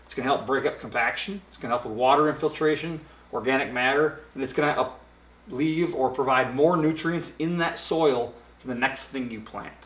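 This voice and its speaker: A man in his thirties casually explaining a product in a dark studio, talking with lots of hand gestures and filler ums. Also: medium pitch, fairly quick. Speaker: product expert. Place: dark studio set, indoors. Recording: roomy echo.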